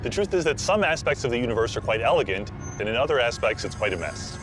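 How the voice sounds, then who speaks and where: Male expert interviewee, fast conversational delivery, music clearly behind. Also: mid-range voice, very animated.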